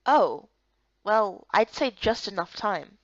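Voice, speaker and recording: A woman voicing a spirited, incredulous young guard recruit in a visual novel game, expressive and questioning. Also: medium pitch, slow, no room sound, lo-fi sound. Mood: puzzled, wary.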